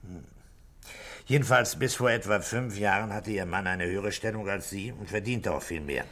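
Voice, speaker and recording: An actor playing a calm, middle-aged police inspector in a radio drama, questioning in a measured, dry way. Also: male voice, lowish voice. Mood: pointed, dry. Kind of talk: 1960s German radio drama acting.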